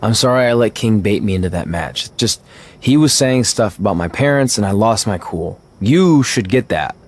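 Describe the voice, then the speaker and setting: A man's voice: fairly low pitch, expressive, normal speed. A man playing an earnest, good-natured young indie wrestler in a video game cutscene, sincere and a little sheepish. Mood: apologetic.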